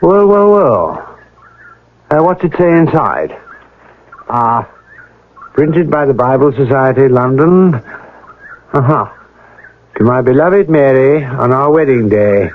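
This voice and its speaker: An actor playing a shrewd, methodical police inspector in a radio mystery drama, deliberate and musing, with polite authority. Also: male voice, medium pitch, expressive, slight background noise. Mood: inquisitive, musing. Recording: lo-fi old radio broadcast recording.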